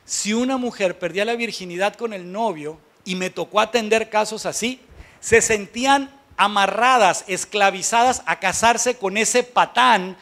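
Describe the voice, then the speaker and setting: A man's voice: high-pitched, fairly bright tone. A man with a Mexican accent preaching as a pastor in a conversational, blunt and colloquial way, leaning on rhetorical questions for emphasis. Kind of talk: conversational sermon to a congregation.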